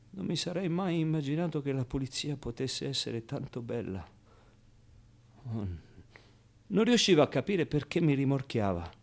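A man's voice: mid-range voice, vocal fry. Streetwise, wistful young man, confiding. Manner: colloquial, confiding, dramatic pauses. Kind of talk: theatrical radio monologue.

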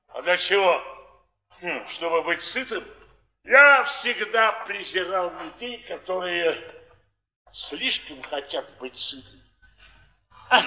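An actor playing a tipsy, proud ex-convict cardsharp who philosophizes fervently in a declamatory Russian stage style. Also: male voice, high-pitched, pushed and bright, heavily acted, poor audio. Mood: bitter, defiant. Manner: declamatory, emphatic, with long weighty pauses. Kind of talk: 1950s Russian theatrical stage acting.

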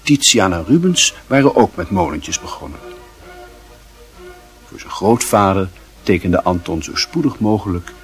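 An actor narrating a radio play as a wry storyteller, dry, ironic and measured. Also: male voice, deep voice, lively intonation, soft background music. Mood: dryly ironic.

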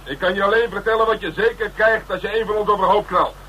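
A tough, taunting police detective in a radio crime drama. His voice is high for a man and forceful, with blunt, streetwise phrasing and sardonic bite. The old recording carries some noise. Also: fairly even intonation, quick pace, poor audio. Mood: taunting, firm.

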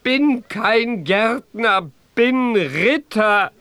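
An actor playing a bashful, honourable German knight in a rhymed fairy-tale audio drama, theatrical and easily flustered. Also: male voice, very high-pitched, lively intonation, very slow, pushed and bright, clean tone, no echo, heavily acted. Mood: anguished outburst. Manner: theatrical, swinging from murmurs to outcries.

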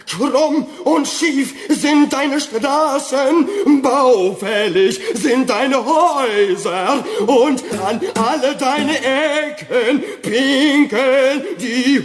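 A pampered, pompous tyrant in a radio play, very high-voiced and dragging every word extremely slowly. He is affected and theatrical, full of whiny vanity, with music clearly behind him. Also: heavily acted. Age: 30s. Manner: pompous, affected, falsely modest. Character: vain, whiny, theatrical Roman emperor, plump, about thirty. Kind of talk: comic radio drama acting.

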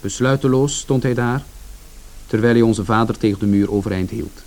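An actor playing a reflective son who narrates a bleak family story in a radio drama. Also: male voice, medium pitch, moderate pace, a little mellow, natural voice. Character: George, reflective younger son recalling his family's bleak Saturday. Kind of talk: scripted first-person narration in radio drama.

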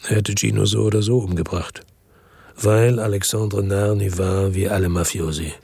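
A man's voice: steady tone, moderate pace, a little mellow, no echo. An actor playing a weary hard-boiled investigator who narrates his own story in a radio drama, terse and matter-of-fact. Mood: bitter, resigned. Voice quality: low, gravelly, husky. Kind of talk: scripted first-person radio drama narration. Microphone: close dry studio microphone.

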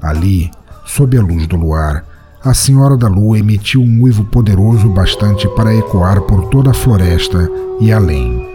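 A man hosting a podcast and narrating a story in a measured, dramatic storytelling manner. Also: lowish voice, expressive, over music.

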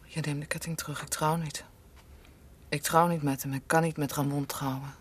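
An actress playing a devoted young niece in love with her master in a radio drama, ardent and breathy, often dropping to a hush. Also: deep voice, flat delivery, normal speed. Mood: pleading, tender. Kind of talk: Dutch radio drama acting.

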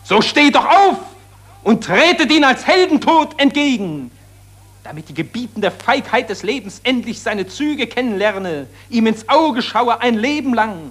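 An actor playing an impassioned satirist who accuses warmongers and summons the war dead, declaiming solemnly in 1940s German radio drama style. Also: male voice, very high-pitched, animated, a little slow, exaggerated voice. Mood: fiery rallying cry.

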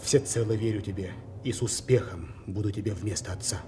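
An actor playing a commanding, vengeful villainous duke in a theatrical audio drama. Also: male voice, on the low side, brisk, background noise, natural voice.

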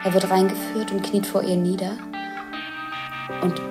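A woman's voice: mid-range voice, level tone, measured pace. A woman describing film scenes as they unfold, in observational commentary, with music behind her.

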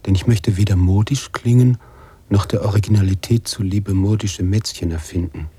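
An actor playing a brooding male writer who narrates his own story in a measured radio-play monologue. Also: on the low side, steady tone, warm tone, slightly rough, no echo. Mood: reflective, self-assured.

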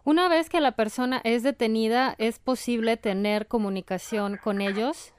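A woman hosting a radio interview, asking measured, clear questions. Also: fairly high pitch.

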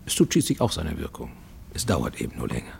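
An actor in a German radio play voicing a haunted middle-aged Japanese fugitive, formal and intense, sometimes dropping to a near whisper. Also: male voice, extremely low pitch, wildly swooping pitch, quick pace, creaky, a little hiss or hum, full character voice. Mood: matter-of-fact.

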